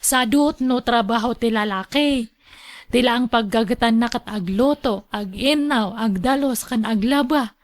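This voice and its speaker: Actress as young Ilocano village woman, high voice, coy, hesitant, hardworking, radio drama. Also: measured pace, natural voice. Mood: resigned.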